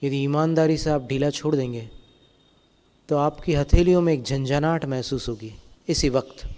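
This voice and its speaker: A man guiding a meditation as a spiritual teacher, giving calm, gentle, step-by-step instructions. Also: fairly high pitch, moderate pace. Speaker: Jain monk and meditation teacher.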